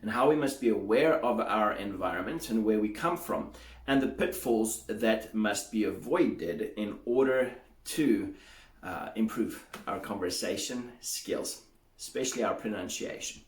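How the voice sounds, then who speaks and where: A man teaching English pronunciation in a measured, explanatory way, sounding out examples aloud. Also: fairly low pitch, lively intonation, roomy sound. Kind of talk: solo teaching monologue.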